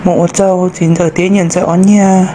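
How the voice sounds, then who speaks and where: Casting: man to play male folk-tale cartoon character, slightly high voice, amateur dialogue, noisy phone sound. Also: little pitch movement, moderate pace, natural voice. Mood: conversational.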